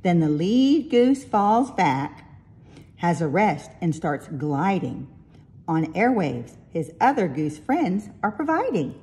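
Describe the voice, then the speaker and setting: A woman reading a rhyming picture book aloud with expressive, deliberate delivery. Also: on the low side. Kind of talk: storybook read-aloud in rhyming verse.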